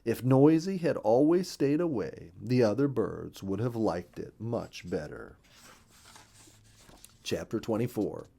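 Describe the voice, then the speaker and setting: A man reading a children's nature story aloud as an expressive, careful storyteller. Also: on the low side, slow pace, gravelly, husky.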